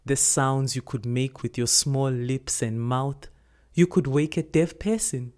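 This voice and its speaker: A woman acts an old grandmother in a short story. She speaks low and hushed, in a measured way that is caring yet closes off questions. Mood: tender. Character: elderly grandmother, caring but evasive. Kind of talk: acted dialogue in a short story reading.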